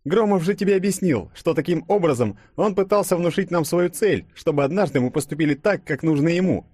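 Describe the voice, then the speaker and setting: A man's voice: fairly high pitch, lively intonation, fairly quick, darkish tone, husky, no room sound, clean studio sound, voice pushed up. A man voicing a wry, sarcastic young man with a light, ironic manner in an audiobook.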